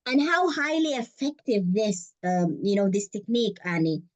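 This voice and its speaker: A woman's voice: medium pitch, very animated, normal speed, a little mellow. A woman hosting an interview podcast, gushing and exclaiming often as she asks questions. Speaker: podcast host. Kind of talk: casual interview chat.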